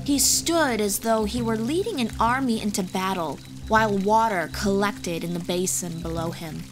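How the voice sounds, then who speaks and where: A woman's voice: mid-range voice, moderate pace. A woman reading scripted fantasy audiobook narration as the storyteller, with music behind her. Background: background music.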